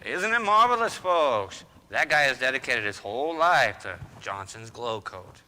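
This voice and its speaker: Man acting a stubborn radio comedy husband, folksy, boastful, very sharp forceful voice, reverberant noisy hall. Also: mid-range voice, very animated, a little slow, heavily acted. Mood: jokey. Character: blustering, stubborn, wisecracking husband, folksy pack rat.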